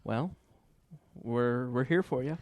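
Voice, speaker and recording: A man's voice: mid-range voice, slow, a little mellow. A man hosting a podcast in casual conversation, with a teasing, joking way of talking. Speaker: podcast host.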